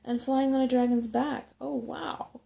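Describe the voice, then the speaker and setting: A woman plays an eager young boy in a bedtime story. He sounds earnest and reassuring, with a mellow, slightly creaky softness. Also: high voice, steady tone, a little slow, voice pushed up, full character voice. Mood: excited. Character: eager, level-headed human boy. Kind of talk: storybook character voice in a soft-spoken reading.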